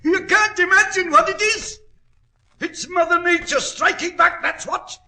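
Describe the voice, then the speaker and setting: A miner driven half mad by horror in an old radio play. His voice is high and piercingly bright. He speaks slowly and haltingly, with anguished melodrama. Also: exaggerated voice. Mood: hysterical raving. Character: terror-crazed miner, a shaken strong man raving. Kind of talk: 1940s radio acting. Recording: old-time radio drama recording.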